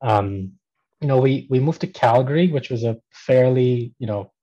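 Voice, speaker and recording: A man from Pakistan in his thirties, a podcast guest telling his life story in a relaxed, casual way with many ums and restarts. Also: medium pitch, normal speed, lo-fi sound. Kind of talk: casual conversational interview.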